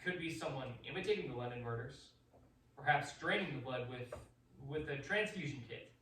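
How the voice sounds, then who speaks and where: A man playing an authoritative, rational doctor in a radio play, brisk and a little flustered in manner. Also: medium pitch, expressive, sharp tone. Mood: grave, reasoning. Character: authoritative, rational doctor and host, uneasily polite. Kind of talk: theatrical radio drama acting. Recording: echoey room, distant mic, faint noise.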